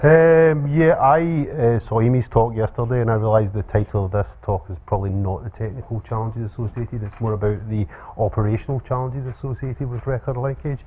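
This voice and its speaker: A man with a Scottish accent giving a relaxed academic seminar talk with frequent ums and uhs. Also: mid-range voice, a little fast, hardly any pauses, a little hiss or hum. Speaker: lecturer. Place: seminar room. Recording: tinny phone-like sound.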